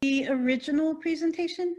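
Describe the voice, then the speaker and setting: Casting: actress as bossy, orderly woman in farce, high voice, firm and patient with muddled colleagues. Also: a little fast, a little mellow, close to an everyday voice. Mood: alarmed. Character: organized, bossy but patient woman board member. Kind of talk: farcical comedy acting.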